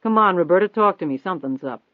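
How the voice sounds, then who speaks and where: A man voicing a persistent, confident newspaper reporter in an audiobook, steady, probing and insistent. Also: high voice, fast, no room sound. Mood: accusing. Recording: thin phone-like band-limited audio.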